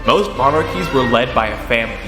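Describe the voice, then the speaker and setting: A man's voice: medium pitch, expressive, brisk, reverberant, long reverb tail, loud music behind the voice, close to an everyday voice. A man playing a combative young debater who is smitten with his rival in a radio drama. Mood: combative, formal.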